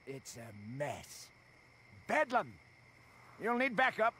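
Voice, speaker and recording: Bossy Southern sheriff, wildly swinging pitch. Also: male voice, huge pitch swings, slow pace, sharp tone, slight background noise, full character voice. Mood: wary, insistent. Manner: folksy, bossy drawl. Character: gruff, stubborn small-town American sheriff. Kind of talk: video game cutscene acting.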